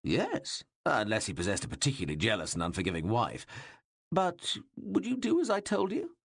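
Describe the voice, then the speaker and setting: A male narrator voicing a bawdy, teasing king who mocks and needles those around him in a loud, playful way. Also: medium pitch, wildly swooping pitch, normal speed, creaky, husky, dry recording, clean studio sound, exaggerated voice. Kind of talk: audiobook reading.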